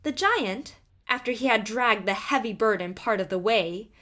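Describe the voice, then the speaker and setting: A woman reading a fairy tale aloud as a storyteller, with expressive, deliberate delivery. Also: fairly high pitch. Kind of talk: scripted fairy tale narration.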